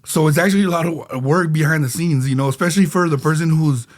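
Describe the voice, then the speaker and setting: A man co-hosting a laid-back podcast with a slightly higher voice. He rambles easily, circles back to repeat himself and leans on fillers. He is a bit under the weather. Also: quick pace. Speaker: podcast co-host. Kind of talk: casual podcast chat.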